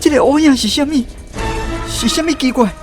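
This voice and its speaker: A man's voice: very high voice, fairly quick, music in the background. A man with a Taiwanese accent playing a fearful, lost soul in a radio drama, pleading and lamenting theatrically. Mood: terrified. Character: anguished lost man, fearful and searching for peace.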